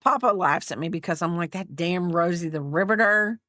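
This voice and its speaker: A woman's voice: fairly low pitch, normal speed, fairly bright tone, no echo, studio quality. A woman in her fifties chatting casually on a crochet livestream, rambling and reading out viewer comments. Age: 50s.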